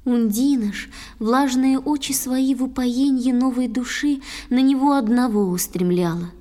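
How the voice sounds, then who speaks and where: A woman's voice: sits high, normal speed, mellow. A woman narrating a poetic tale for children in tender, scripted verse recitation.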